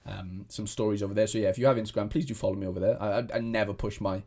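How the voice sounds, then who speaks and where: A man's voice: lowish voice, steady tone, racing, warm tone. Rambling young man. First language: Welsh. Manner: rambling, many restarts and fillers. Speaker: fantasy football content creator. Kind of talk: casual chat to camera.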